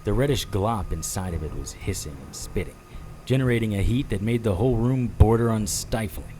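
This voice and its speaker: Male actor as wry private eye, low voice, calm, measured, noir audio drama, music clearly underneath. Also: natural voice. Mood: measured narration. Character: hard-boiled male private investigator, wry, calm, unflappable. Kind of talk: first-person noir audio drama narration. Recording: music behind the voice.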